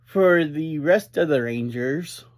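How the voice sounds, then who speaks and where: A man giving an informal, unscripted recap as a reviewer, speaking in halting, deliberate phrases with frequent breaks. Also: on the high side. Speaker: reviewer and host of an episode review series.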